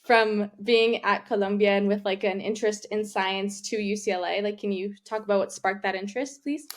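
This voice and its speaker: A woman's voice: mid-range voice, fairly even intonation, fairly quick. Young woman interviewer, casual phrasing. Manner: casual, many "like" fillers. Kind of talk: interview questions.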